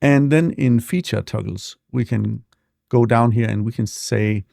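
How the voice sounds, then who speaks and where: A man walking through a software demo in a relaxed, explanatory way, with frequent fillers, speaking close to a desk mic. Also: mid-range voice, a little slow, vocal fry, no room sound. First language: not English. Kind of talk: screen-share tutorial walkthrough.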